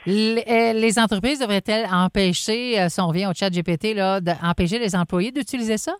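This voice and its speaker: A woman's voice: medium pitch, fairly quick, clean tone. A woman hosting a radio interview who asks short questions with a few hesitant fillers. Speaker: radio host.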